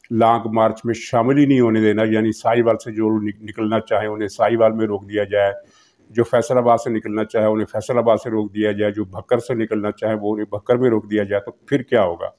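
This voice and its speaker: A man's voice: medium pitch, darkish tone. A man with a Pakistani accent giving unscripted political commentary, explaining things and repeating phrases for emphasis.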